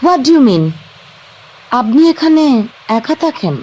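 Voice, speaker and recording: A woman's voice: sits high, big pitch swings, takes it slowly, a little mellow, heavily acted. A woman acting as a young woman stranded on an island with her fiancé, in an audio drama. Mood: worried. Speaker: Jina.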